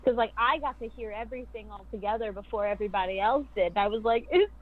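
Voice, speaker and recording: Giggly woman, slightly high, muffled audio. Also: quick pace. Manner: giggly, animated storytelling. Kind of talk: casual chat.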